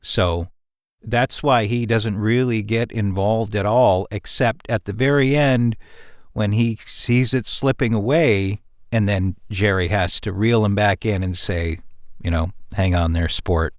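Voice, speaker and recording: Slow, low-voiced man, thoughtful and halting. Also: fairly even intonation, no echo, clean studio sound. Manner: halting, thoughtful, mulls over wording. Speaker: audio drama writer on a cast discussion. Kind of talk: casual, reflective discussion.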